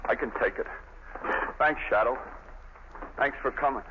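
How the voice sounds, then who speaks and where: An actor playing Dan Malley, a tough-talking young convict facing execution, in a 1930s radio drama. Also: male voice, mid-range voice, moderate pace, lightly acted. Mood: desperate. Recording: tinny, hissy 1930s radio recording.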